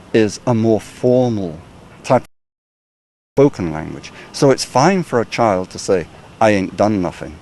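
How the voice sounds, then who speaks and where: A man speaking as an academic guest, explaining in a measured way with frequent small hedges. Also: on the low side, darkish tone, slight background noise. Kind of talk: expert explanation.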